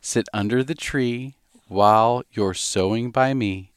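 Man voicing a contented kindly little bird, storybook rhyme, measured lilt, dry sound. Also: medium pitch, clean tone, no echo, lightly acted. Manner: lilting, measured rhyming recital.

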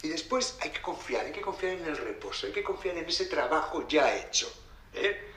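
A man's voice: fairly high pitch, animated, moderate pace, fairly bright tone. A man playing a patient, philosophical cook explaining his craft in an audio drama. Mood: reflective, instructive. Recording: roomy echo, faint background noise.

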